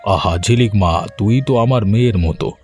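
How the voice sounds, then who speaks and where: A man playing a good-natured, flattering middle-aged village farmer in an animated story, folksy and wheedling. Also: on the low side, normal speed, soft background music, lightly acted.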